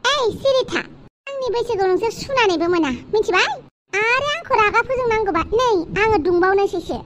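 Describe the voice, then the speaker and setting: A woman's voice: very high voice, expressive, a little fast, a bit bright, a little hiss or hum. A woman voicing a proud, touchy sun queen in a cartoon, boastful and complaining. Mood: threatening, indignant. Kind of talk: cartoon dialogue.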